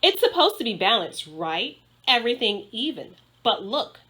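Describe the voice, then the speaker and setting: A woman's voice: a little high, big pitch swings, normal speed, pushed and bright, slightly rough, exaggerated voice. A woman voicing a restless, bored, blunt schoolgirl in a children's storybook, with a whiny, pointed way of talking. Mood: critical. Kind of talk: children's storybook read-aloud.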